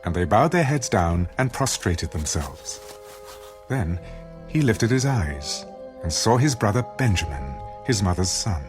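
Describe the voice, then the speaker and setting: A man narrating a dramatized audio Bible in a solemn, measured storytelling style. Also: deep voice, sing-song, music in the background.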